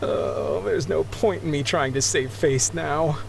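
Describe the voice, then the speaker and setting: A man's voice: on the high side, big pitch swings, slow pace, gravelly, slight background noise, heavily acted. An actor playing an embarrassed, grateful married sea captain in a video game, flustered and apologetic as he talks. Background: background music.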